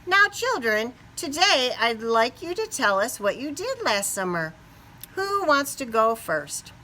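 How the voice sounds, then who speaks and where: A woman voicing a gentle but firm female primary school teacher who speaks politely to children in a storybook. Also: high voice, big pitch swings, slight background noise, voice pushed up, heavily acted. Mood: inviting. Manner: instructing children, polite and measured. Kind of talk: children's picture book read-aloud.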